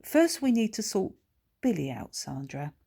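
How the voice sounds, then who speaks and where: A woman voicing a composed, cautious witch who gives advice in a measured, polite manner in a children's storybook. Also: mid-range voice, sing-song, vocal fry, mellow, slightly rough, heavily acted. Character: composed, cautious female witch advising a novice.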